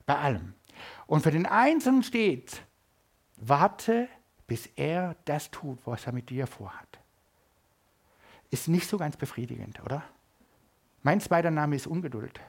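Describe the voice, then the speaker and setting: Middle-aged man, church sermon, somewhat high voice, punchy then hushed. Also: big pitch swings, a little slow, pauses often, slightly rough. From Germany. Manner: dynamic, swings between loud emphasis and quiet asides. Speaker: preacher. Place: church service.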